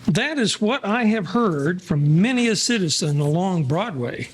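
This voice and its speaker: Man playing a wry, high-pitched Broadway regular. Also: very animated, unhurried, a little hiss or hum. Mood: wry, sociable. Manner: dry, formal wordy phrasing. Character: wry, sociable 1940s Broadway regular, male. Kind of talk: 1940s radio play acting.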